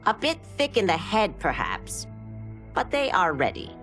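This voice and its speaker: An actress playing a tough older martial arts teacher in a game cinematic, blunt, knowing and firm. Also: female voice, medium pitch, very animated, measured pace, a bit bright, faint music behind. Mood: wry. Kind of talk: video game cinematic acting.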